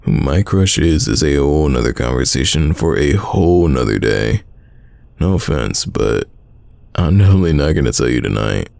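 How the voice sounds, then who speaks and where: A man playing a secretly smitten, teasing young man in an intimate roleplay audio drama, deep and gravelly, talking casually. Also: expressive, darkish tone, no room sound, exaggerated voice. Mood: flustered, guarded. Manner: casual, conversational, halting, teasing.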